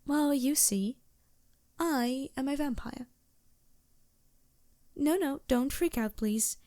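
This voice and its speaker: A woman's voice: sits high, slow pace, no echo, clean studio sound. A woman playing a polite, reassuring vampire merchant in a fantasy ASMR roleplay, speaking formally with small hesitant asides. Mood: earnest, reassuring. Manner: genteel, formal phrasing with hesitant asides and soft chuckles.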